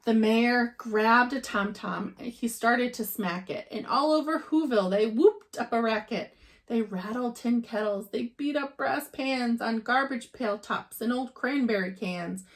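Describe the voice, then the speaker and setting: Woman, high-pitched, teacher read-aloud, expressive storybook narration for children. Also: a little slow.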